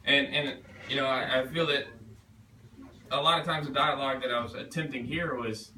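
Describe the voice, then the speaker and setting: A man's voice: mid-range voice, steady tone, normal speed, sharp tone. A man speaking as an author in an informal question session at a reading, rambling and self-deprecating as he thinks aloud. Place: bookstore event room. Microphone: distant room microphone. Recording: echoey room, distant mic, noisy background.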